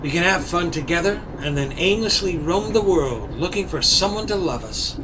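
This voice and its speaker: A man's voice: on the high side, animated, moderate pace, natural voice. Man acting a plucky adventurer hero, noisy recording. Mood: suave, playful. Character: plucky, wrongly accused adventurer hero, male, insistent and suave. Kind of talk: audio play acting. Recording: noisy home-recorded audio on consumer device.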